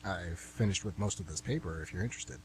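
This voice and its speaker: A man playing an indignant, civic-minded older gentleman on jury duty in an amateur radio play, with a lecturing manner. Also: sits low, fast, close to an everyday voice. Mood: matter-of-fact. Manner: lecturing, righteous. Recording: amateur radio, faint background hum.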